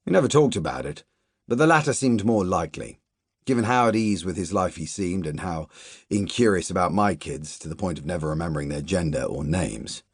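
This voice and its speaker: A man reading a literary novel aloud as a first-person audiobook narrator, measured and even, dropping to quiet asides in the dialogue. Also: low-pitched, breathy edge, dry recording, studio quality.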